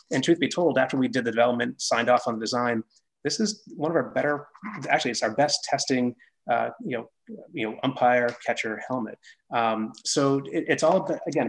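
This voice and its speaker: An American man with a noticeably creaky voice at an ordinary pitch. He talks casually on a video call, modest and loosely conversational, explaining products. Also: poor audio. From Massachusetts, United States. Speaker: guest, head of product development at a sports gear maker. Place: small indoor workshop office. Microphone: computer mic on a video call.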